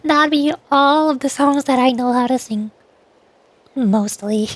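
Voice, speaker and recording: A woman's voice: sits high, a little slow, no echo. A woman chatting casually on a livestream, rambling, repeating and correcting herself as she talks about voice acting. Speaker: streamer and amateur voice actor.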